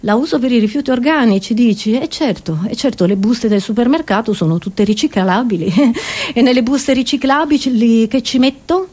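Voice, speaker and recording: Female comedian, high voice, ironic and expressive monologue delivery, addresses listeners with rhetorical asides. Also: normal speed. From Italy. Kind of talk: theatrical satirical stand-up monologue.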